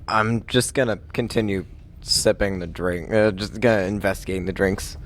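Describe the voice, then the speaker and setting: A man chatting casually as a player at a tabletop game, haltingly restating his moves. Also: lowish voice, steady tone. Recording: noisy room ambience.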